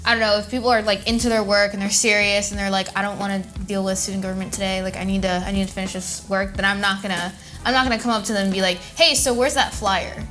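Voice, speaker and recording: A teenage girl chatting casually with her father, playful and animated, acting out little scenes as she explains. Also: mid-range voice, quick pace, few pauses, soft background music. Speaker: daughter, co-host. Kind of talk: casual chat.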